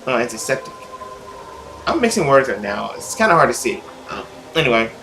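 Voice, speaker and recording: A man's voice: medium pitch, sing-song, fairly quick, pushed and bright, soft background music, low-quality recording. A man hosting a gaming video, reading a visual novel aloud with exclamatory, jokey reactions.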